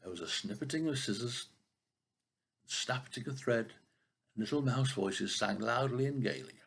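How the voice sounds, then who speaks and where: An older man reading a children's story expressively, with a husky voice and the odd stumble over a word. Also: medium pitch, moderate pace, a bit bright. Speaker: storybook reader.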